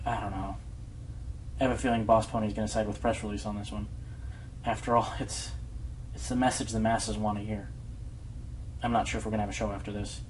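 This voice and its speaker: A man's voice: on the low side, flat delivery, fairly quick, long pauses, noisy background, natural voice. A man playing an earnest young pony radio host in a radio play, hot-tempered but unsure of himself. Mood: humbled, unsure. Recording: loud music behind the voice.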